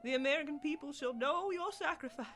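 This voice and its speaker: A woman's voice: high-pitched, fast, very noisy, natural voice. A woman playing an earnest, solemn President facing a nuclear attack in a comedic radio play, theatrical and grandiose. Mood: solemn, grave.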